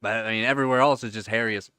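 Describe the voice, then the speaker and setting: A man's voice: lowish voice, bright, forceful tone, clean tone, no room sound. Rambling man in his thirties, dry sound. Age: 30s. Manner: rambling, repeats words, many "like" fillers. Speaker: livestream host. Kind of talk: casual live-stream chat answering viewers.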